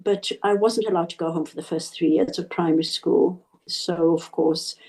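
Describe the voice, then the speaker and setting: A woman from Zimbabwe, a radio interview guest, earnestly recalling her childhood in measured speech. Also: fairly low pitch, steady tone, a little mellow, low-quality recording. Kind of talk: interview storytelling.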